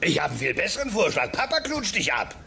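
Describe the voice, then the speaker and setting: A man's voice: high-pitched, huge pitch swings, fast talker, gravelly, pushed and bright, slight background noise, exaggerated voice. A man playing a grumpy, put-upon dinosaur father, exasperated and melodramatic, in a German-dubbed sitcom audio play. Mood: reluctant, sarcastic. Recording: music behind the voice.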